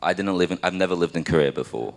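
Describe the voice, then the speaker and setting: A man's voice: low-pitched, animated, rapid-fire, creaky. A young man from Australia, asking a question in a hesitant way with restarts. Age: 20s. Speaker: audience member asking a question.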